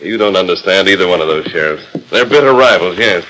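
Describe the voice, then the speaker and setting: An actor playing a calm masked western hero, firm, measured and well-spoken, in 1940s radio style. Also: male voice, low-pitched, low-quality recording.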